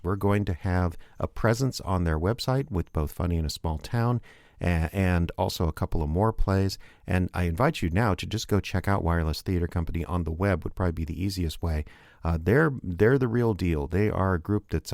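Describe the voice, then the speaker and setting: A man's voice: sits low, darkish tone, no room sound, clean studio sound. A man hosting a radio drama show, casually rambling through promotional plugs with frequent fillers. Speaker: show producer and host.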